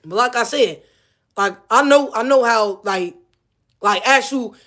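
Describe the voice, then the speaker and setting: A woman's voice: a little high, unhurried, fairly bright tone. A woman with a Missouri accent, ranting casually to camera, heated and profane, repeating herself. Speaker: vlogger.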